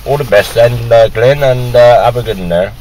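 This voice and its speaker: A man's voice: mid-range voice, moderate pace, darkish tone, a little hiss or hum, low-quality recording. A man making casual amateur radio contacts, working down a list in radio jargon. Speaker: radio operator, video maker.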